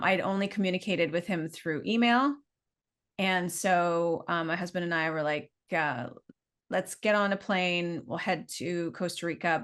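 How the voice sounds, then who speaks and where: A woman's voice: lowish voice, a bit bright. A middle-aged woman with a Canadian accent, telling her story in a chatty, meandering, informal way as an interview guest. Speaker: business owner being interviewed.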